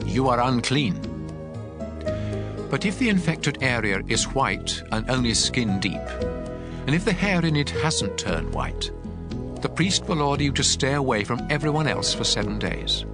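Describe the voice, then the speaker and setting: A man playing a solemn, commanding God, reading scripture laws in a measured, emphatic way over music. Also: medium pitch, big pitch swings. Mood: solemn, instructive. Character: God speaking law, solemn, commanding male deity.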